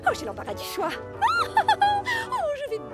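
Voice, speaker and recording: A woman voicing an eager, excitable talking digger in a children's cartoon, flustered and gushing. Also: extremely high pitch, normal speed, over loud music, exaggerated voice. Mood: thrilled. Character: excitable, eager female talking digging machine.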